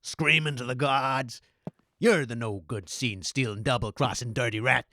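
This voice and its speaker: A man playing a gruff, vengeful criminal long-lost brother in a comedy audio sketch. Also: medium pitch, steady tone, moderate pace, pushed and bright, slight background noise. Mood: vengeful, menacing.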